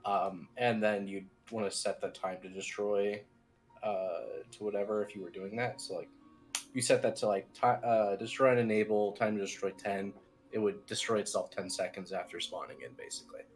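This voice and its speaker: A man's voice: fairly low pitch, fairly even intonation, normal speed, soft background music. A man giving an informal technical tutorial as team lead, explaining things in a loose, rambling way. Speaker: software team lead, instructor.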